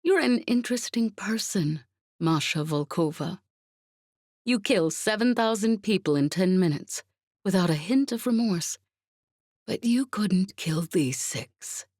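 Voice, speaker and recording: A woman voicing a perceptive, ironic female villain in an audiobook, speaking deliberately with cool curiosity and menace. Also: mid-range voice, animated, darkish tone, dry recording, clean studio sound, pitched above the performer's own voice. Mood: probing. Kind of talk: audiobook character acting.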